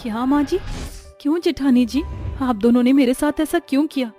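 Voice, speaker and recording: A woman voicing a gentle, meek young daughter-in-law in an animated story, speaking softly and politely. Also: sits high, normal speed, soft background music, close to an everyday voice. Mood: pleading. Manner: soft, pleading, polite.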